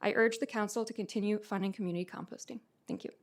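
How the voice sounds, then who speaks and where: A woman reading prepared testimony at a council hearing in an earnest, measured way. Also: mid-range voice, fairly even intonation. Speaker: public testifier, master composter. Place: city council hearing room.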